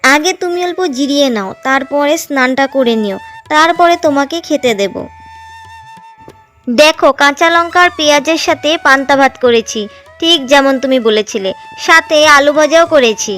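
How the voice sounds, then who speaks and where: A devoted young village wife in a cartoon tale talks tenderly and patiently, voiced by a woman. Her voice is high, with soft music under it. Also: moderate pace. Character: caring young farmer's wife, warm and devoted.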